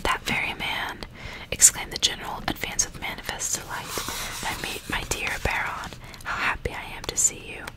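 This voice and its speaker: A woman whispering in a breathy, unvoiced hush, as an ASMR host reading aloud in an unhurried way. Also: low-quality recording. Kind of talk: whispered ASMR audiobook reading with casual asides.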